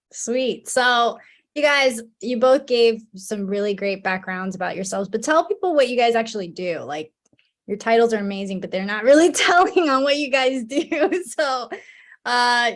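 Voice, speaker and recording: A woman's voice: on the high side, lively intonation, moderate pace. A woman hosting an informal online panel, chatty and friendly, laughing often. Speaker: event host, PR director. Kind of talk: casual moderated panel.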